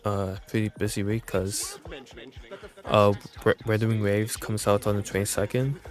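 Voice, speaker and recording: A man's voice: fairly low pitch, level tone, a little slow. A man streaming a video game with casual, rambling, informal commentary. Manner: informal, rambling, mild swearing. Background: game music.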